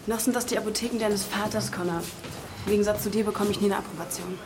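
A woman's voice: mid-range voice, steady tone, fast, heavy background noise, natural voice. An actress playing a cool, self-assured dealer in her twenties, speaking directly and in a businesslike way in a radio drama. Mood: dismissive. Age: 20s. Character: cool, self-assured 25-year-old female drug dealer. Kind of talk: naturalistic radio drama dialogue.

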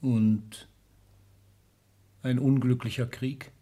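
A man's voice: mid-range voice, flat delivery, extremely slow, mellow, a little hiss or hum. An older man acting in a German radio play as a disillusioned, commanding industrialist patriarch with an emphatic, theatrical delivery. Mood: bitter.

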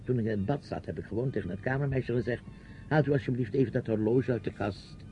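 A man's voice: mid-range voice, quick pace, a little hiss or hum, lightly acted. An actor playing a reproachful, patronising uncle questioning his niece in a radio play. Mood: quiet reproach. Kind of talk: radio drama acting. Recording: dull old radio play recording, music.

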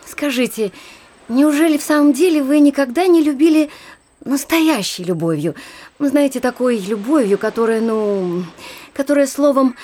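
A woman's voice: a little high, warm tone, slight background noise. An actress playing an earnest, romantic society lady who speaks insistently and probingly in a radio drama. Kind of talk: Russian radio drama acting.

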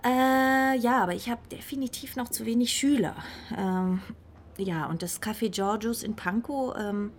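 A woman's voice: fairly high pitch, unhurried, a little hiss or hum. An actress playing an embarrassed jobless musician woman in a radio drama, stammering and hesitant as she explains herself. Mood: flustered, embarrassed.

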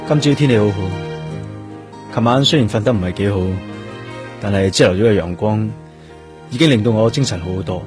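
A man acting in a Cantonese radio drama as a stubborn, brooding police detective, with a husky voice and Hong Kong accent. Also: lowish voice, fairly quick, pauses often, over music, close to an everyday voice. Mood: reflective.

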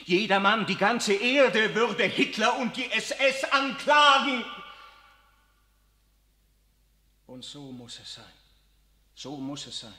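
An anguished young priest. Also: male voice, high voice, lively intonation, unhurried, full character voice. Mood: pleading. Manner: intense, pleading, shifts from hushed to impassioned. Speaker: radio drama actor. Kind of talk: 1960s radio drama acting. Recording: reverberant studio stage.

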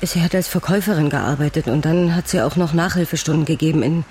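Actress as nervous young witness, radio thriller. Guarded, hesitant, brief replies, faint background noise. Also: female voice, low voice, fast talker, darkish tone, close to an everyday voice. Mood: wary, nervous. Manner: hesitant, brief answers, slight stammer. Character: wary, nervous young woman questioned by police. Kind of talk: German radio crime drama acting.

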